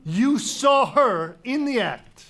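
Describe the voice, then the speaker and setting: A man's voice: extremely high pitch, animated, slow, fairly bright tone, full character voice. Stern king, imperious, proud, interrogating, authoritarian, Greek tragedy dramatic reading. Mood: stern interrogation. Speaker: actor.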